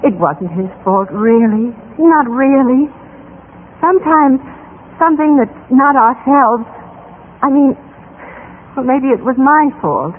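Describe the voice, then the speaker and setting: An actress playing a trusting, plaintive housewife in an old-time radio drama, with emotive, halting delivery. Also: female voice, high-pitched, lively intonation. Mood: halting, forgiving. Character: trusting housewife, poisoned by husband, plaintive and forgiving. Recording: noisy, narrow-band old radio recording.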